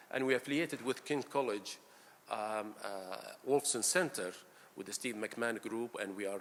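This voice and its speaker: Middle-aged man lecturing in an echoing hall. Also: medium pitch. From Iraq. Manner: halting, frequent fillers, warm and gracious. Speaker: pain physician giving a conference talk. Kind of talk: conference lecture. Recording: reverberant hall, faint room noise.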